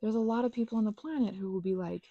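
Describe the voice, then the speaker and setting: A woman in her thirties giving a casual, playful ASMR ramble with emphatic, slangy phrasing and a husky, breathy voice with vocal fry. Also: mid-range voice, quick pace, mellow, dry recording, highs cut off. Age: 30s. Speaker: ASMR channel host.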